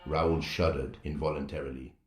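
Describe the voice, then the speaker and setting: A man's voice: a bass voice, steady tone, moderate pace. A man narrating a scripted suspense story in a dramatic storytelling style. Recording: echoey, roomy sound with music.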